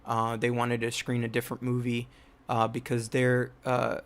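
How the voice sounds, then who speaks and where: A man's voice: medium pitch, completely flat delivery, moderate pace. A young man from New Jersey telling a rambling personal story about his filmmaking, with many fillers and restarts. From United States (New Jersey). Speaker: filmmaker and channel host.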